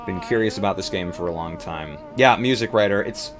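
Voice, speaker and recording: Casual male stream co-host, lowish register, light music underneath. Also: brisk. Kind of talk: casual livestream chat.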